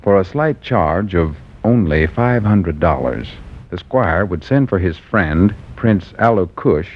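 A man narrating a scripted story recap as a 1940s radio announcer. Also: fairly low pitch. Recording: dull old 1940s radio broadcast.

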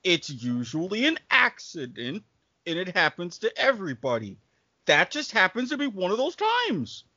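A man's voice: high voice, wildly swooping pitch, moderate pace, sharp tone, dry recording, lo-fi sound. A man hosting a comedic podcast with casual banter and a stammering, theatrical showman's delivery. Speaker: podcast host.

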